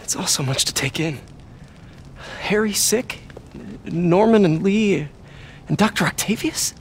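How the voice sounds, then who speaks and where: An actor playing a young, earnest superhero in conversational video game drama. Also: male voice, high voice, animated, measured pace, slight background noise. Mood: overwhelmed. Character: young male superhero, earnest, burdened, quippy.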